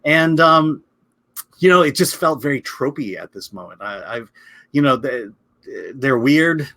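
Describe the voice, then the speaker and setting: A man's voice: mid-range voice, animated, a little slow, bright, forceful tone, no room sound. A man chatting casually as a film critic, speaking hesitantly and often repeating his words as he reviews.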